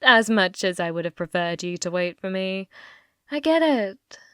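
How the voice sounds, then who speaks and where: A woman playing a possessive, manipulative schoolgirl childhood friend in an ASMR roleplay, coy and teasing, with breathy, sweetly menacing delivery. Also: mid-range voice, lively intonation, pushed and bright, no room sound, studio quality. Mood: smug, jealous. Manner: coy, teasing, drawn-out phrasing dropping into breathy whispers.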